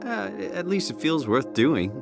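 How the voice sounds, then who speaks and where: A man's voice: a little high, very animated, unhurried, vocal fry, music in the background, exaggerated voice. An actor voicing a questioning, earnest everyman hero in a video game, talking in a casual, wry way. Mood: awed. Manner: casual, inquisitive.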